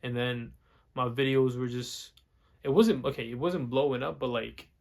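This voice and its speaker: A man's voice: medium pitch, steady tone, moderate pace, gravelly, darkish tone. Young guy vlogging, english not his first language, keeps restarting sentences, mid voice. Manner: rambling, repeats words and restarts a lot. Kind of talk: casual talk to camera.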